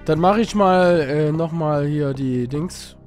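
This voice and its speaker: A man with a cold and a hoarse voice, casually commenting on a game he is playing, rambling and reading in-game text aloud. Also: a little high, takes it slowly, darkish tone, soft background music. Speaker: let's play host.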